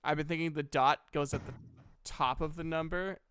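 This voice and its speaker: A man's voice: a little high, fairly even intonation, moderate pace, a bit bright, clean tone. A man acting as game master, casually narrating and bantering with players in a jokey, self-deprecating way.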